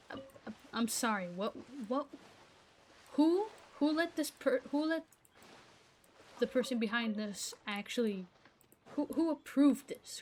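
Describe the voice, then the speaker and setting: Exasperated ranting woman, higher voice. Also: a little mellow, slight background noise. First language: Arabic. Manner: rambling, exasperated, keeps breaking off mid-sentence. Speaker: gaming vlogger. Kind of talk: casual rant to camera.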